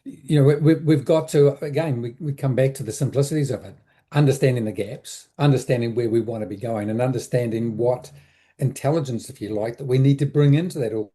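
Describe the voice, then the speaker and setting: A man answering interview questions as an advisor, reflective and halting, often repeating words as he thinks aloud. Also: mid-range voice, dark tone. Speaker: interview guest, business advisor. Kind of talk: conversational explanation in an interview.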